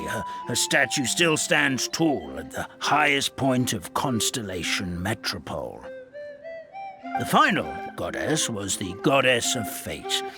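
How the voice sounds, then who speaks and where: Doddery old storybook forest grandpa, very up and down, theatrical, rambles a bit, game music under it. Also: male voice, mid-range voice. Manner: halting, rambling, theatrical storytelling with stammered repeats. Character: forgetful kindly elderly paper forest dweller, grandfatherly. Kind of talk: video game character storytelling. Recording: studio voice over background music.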